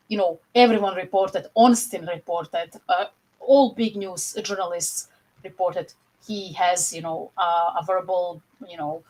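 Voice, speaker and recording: A woman's voice: fairly low pitch, a little mellow, low-quality recording. A young woman with a Croatian accent co-hosting a casual football chat on a video call. She talks animatedly and fills her sentences with "you know". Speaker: podcast co-host.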